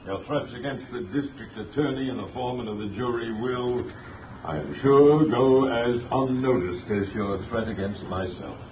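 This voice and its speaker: An actor playing a stern, unshakable judge in a 1930s radio drama, formal, declamatory and imperious. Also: male voice, medium pitch, normal speed, slight background noise, natural voice. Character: stern, unshakable male judge passing sentence. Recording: distant echoey tinny 1930s radio.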